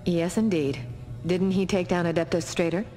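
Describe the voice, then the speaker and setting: Woman doing sly space pirate captain, video game, kinda low and smug, music loud behind. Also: fairly even intonation, normal speed, natural voice. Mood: wry, guarded. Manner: wry, self-assured. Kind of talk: video game cutscene acting.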